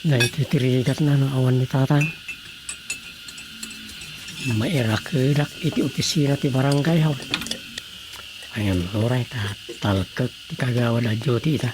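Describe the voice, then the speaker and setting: A man's voice: medium pitch, normal speed, background noise, natural voice. An actor playing a village councilman in a radio drama, muttering to himself as he struggles to write. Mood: grumbling. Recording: cricket and insect night ambience.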